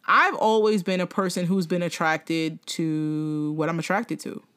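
A young woman co-hosting a podcast in casual chat, animated, laughing often and swearing freely. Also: fairly low pitch, a little slow. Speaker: podcast co-host.

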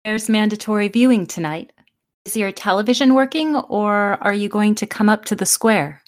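A woman's voice: mid-range voice, normal speed, lightly acted. A woman playing a plucky, teasing teenage girl, a young sweetheart in a fan audio drama. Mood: casual, playful.

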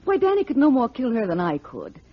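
An actress playing a scornful, impatient young wife in a 1940s radio drama, scolding and dismissive. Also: female voice, a little high, huge pitch swings, fairly quick, slightly rough, exaggerated voice. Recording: muffled old radio broadcast recording.

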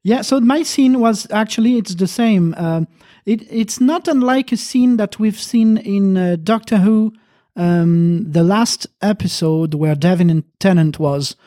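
Hesitant host with a mellow voice. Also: medium pitch, measured pace. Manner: hesitant, stumbling with fillers. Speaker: podcast host. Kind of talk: casual chat.

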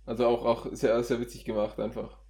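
A man's voice: mid-range voice, monotone, a little fast, warm tone. A man chatting casually as a co-host, informal and rephrasing his thoughts as he goes. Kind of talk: casual conversation.

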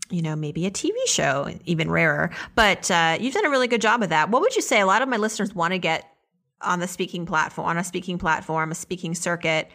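A woman's voice: on the low side, a bit bright. A woman hosting a podcast, interviewing in a conversational style.

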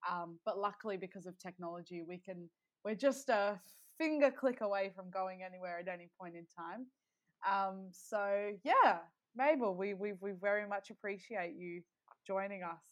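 A woman's voice: medium pitch, normal speed. Young woman hosting a chill podcast, upbeat and chatty, lots of ums. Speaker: podcast host. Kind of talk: casual podcast chat.

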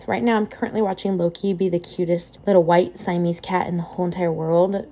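A woman hosts her podcast alone from home and tells a spooky legend in a loose, chatty way, wandering into playful side comments. Her voice sits at a middle pitch. A steady hiss of background noise runs under the recording. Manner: chatty, rambling, playful asides. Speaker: podcast co-host. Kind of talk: casual solo podcast storytelling. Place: home, with her cat nearby.

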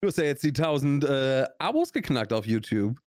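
A man's voice: mid-range voice, sing-song, moderate pace, a little mellow, no room sound, studio quality. A young man from Augsburg with a Swabian accent, co-hosting a podcast in a loose, rambling chat full of fillers and restarts. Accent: Swabian German. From Augsburg, Swabia, Germany. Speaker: podcast co-host. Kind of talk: casual chat.

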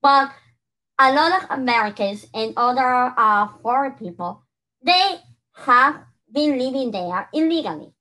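Bright-voiced woman from Peru. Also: high voice, lively intonation, unhurried, rough recording. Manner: earnest, many restarts and "you know". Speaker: podcast co-host. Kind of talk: casual conversation.

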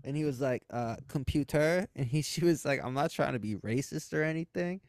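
A man's voice: on the high side, brisk, a little mellow, no room sound, clean studio sound. A young man co-hosting a casual podcast, laughing often and mimicking voices as he tells stories. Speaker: podcast co-host. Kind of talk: casual crude banter.

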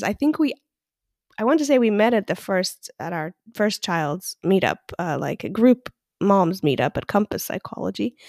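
A woman hosting a birth story podcast as a doula, friendly and quick to laugh, with many fillers. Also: mid-range voice, darkish tone, dry recording, studio quality. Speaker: podcast host and postpartum doula. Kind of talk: podcast interview with scripted intro.

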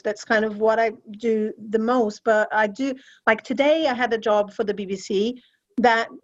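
A Brazilian-born woman whose first language is Portuguese, speaking English with a British-tinged accent in a reflective, hedging way during a casual roundtable. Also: fairly high pitch. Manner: reflective, many hedging fillers. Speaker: voice-over artist. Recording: muffled lo-fi audio.

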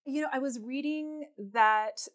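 A woman's voice: sits high, measured pace, fairly bright tone. An American woman hosting an interview in a chatty, informal, conversational way. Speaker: interview host.

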